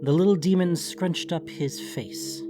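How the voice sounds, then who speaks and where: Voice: mid-range voice, unhurried, over music. A narrator reading a scripted fantasy story for an audiobook.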